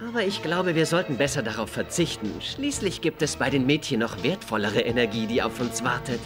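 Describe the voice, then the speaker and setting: An actor playing a smug, scheming alien villain in an animated series dub. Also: male voice, mid-range voice, brisk, over music, natural voice.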